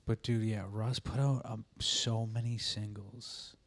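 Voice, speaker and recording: A man's voice: lowish voice, level tone, a little slow, a little hiss or hum. Laid-back man chatting about music. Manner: laid-back, rambling, swears casually. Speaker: podcast co-host. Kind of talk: casual music reaction chat.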